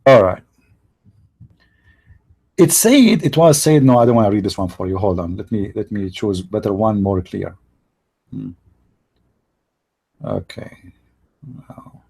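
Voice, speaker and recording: A man's voice: fairly low pitch, animated, a little slow, creaky, pauses often, mellow, breathy edge, poor audio. A middle-aged man, hosting a live stream and making sarcastic, joking commentary while talking to his chat. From Middle East. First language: Arabic.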